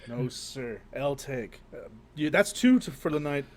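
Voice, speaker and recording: A man with a mid-pitched voice bantering as a podcast co-host. He is casual and joking, heavy on slang and swearing. He often puts on indignation for comic effect. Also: expressive, a little slow, crowd noise.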